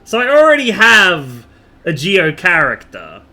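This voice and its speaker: A man's voice: high-pitched, wildly swooping pitch, measured pace, bright, forceful tone, soft background music. A man streaming a game in casual chat, rambling and thinking aloud with the odd casual swear, over noticeable background noise. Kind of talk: casual live stream chat while gaming.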